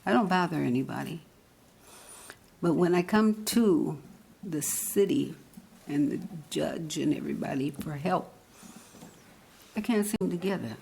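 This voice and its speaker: A woman in her eighties, speaking at a council meeting's public comment, halting and earnest as she searches for words. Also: sits low, vocal fry, a little mellow, slight background noise. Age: 80s. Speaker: resident giving public comment. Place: council chamber podium.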